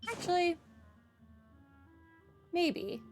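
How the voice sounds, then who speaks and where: A woman's voice: very high-pitched, sing-song, very slow. A woman giving casual, offhand playthrough commentary, thinking aloud as she plays. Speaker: gaming streamer. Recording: game music behind the voice. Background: clearly audible game music.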